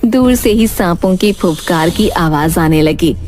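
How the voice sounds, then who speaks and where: A woman's voice: fairly low pitch, lively intonation, measured pace, a little mellow, a little hiss or hum. Woman narrating a children's fairy tale. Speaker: storyteller. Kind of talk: scripted children's story narration.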